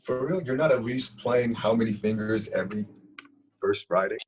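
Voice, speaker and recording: A man's voice: on the low side, normal speed, close to an everyday voice, rough recording. A man playing a blunt, cocky, crude young straight guy in a script read-through. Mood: cocky, joking.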